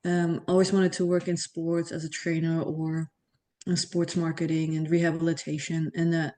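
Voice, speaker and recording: A woman, a guest explaining her background in a relaxed, steady way with frequent ums and restarts. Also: low voice, normal speed. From Germany. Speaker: podcast guest. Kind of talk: casual interview talk.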